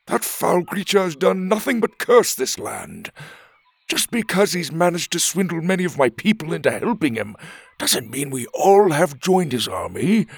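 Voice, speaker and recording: A man's voice: a little high, big pitch swings, normal speed, gravelly, husky, dry recording, pitched above the performer's own voice, heavily acted. A man voicing a grumpy old blind lizard. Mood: indignant. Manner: proud, snarling, indignant.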